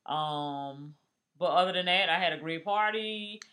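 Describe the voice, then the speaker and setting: Male co-host, 40s, bright and a bit high, drawn-out teasing chat. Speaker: podcast co-host. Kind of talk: casual chat.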